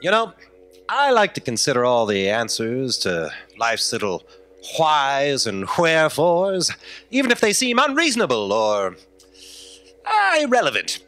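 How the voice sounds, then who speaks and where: A man's voice: mid-range voice, wildly swooping pitch, a bit bright. Man playing a very sing-song, polite sceptic. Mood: politely doubtful. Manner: deliberate, halting, polite. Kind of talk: staged sci-fi comedy audio drama. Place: theatre stage, live performance. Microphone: stand microphone.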